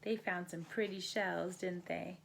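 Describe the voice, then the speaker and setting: A woman's voice: on the low side, fairly even intonation, a little slow, creaky, poor audio. A woman reading a children's bedtime story aloud as a storybook narrator. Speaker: storybook reader.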